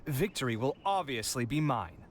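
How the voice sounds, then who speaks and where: A man's voice: medium pitch, animated, normal speed, a crowd in the background, natural voice. A man voicing a confident, dramatic young scholar in an anime-style video game. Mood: self-assured.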